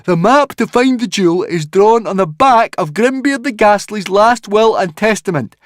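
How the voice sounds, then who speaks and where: A narrator plays an earnest young Viking boy in a storybook adventure. The voice is raised high and loud, pressing each point with hot-headed insistence. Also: animated, moderate pace, dry recording, studio quality, close to an everyday voice. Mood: insistent. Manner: insistent, argumentative.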